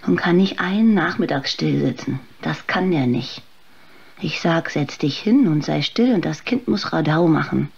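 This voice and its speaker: A woman's voice: sits low, expressive, moderate pace, gravelly, darkish tone, slightly rough, exaggerated voice. An actress playing a harried, stern serving woman in a radio play, blunt and exasperated. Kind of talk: German radio drama acting. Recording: dull, noisy sound with ambience.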